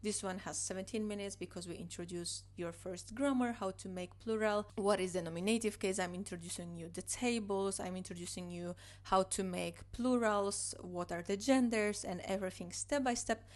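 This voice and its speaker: A woman's voice: mid-range voice, normal speed, few pauses. A young woman speaks English. She is a teacher showing her online course on screen and explains each part patiently, repeating herself and filling gaps with "you know." She is recorded indoors at a desk on a computer microphone. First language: Polish. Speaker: Polish language teacher. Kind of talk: screen-share course walkthrough.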